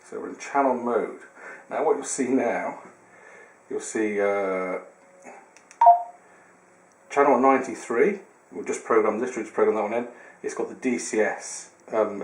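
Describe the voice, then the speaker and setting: A man giving an informal hands-on product tutorial, explaining step by step in a slightly hesitant way. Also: medium pitch. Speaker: product demonstrator. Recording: roomy echo with background hiss.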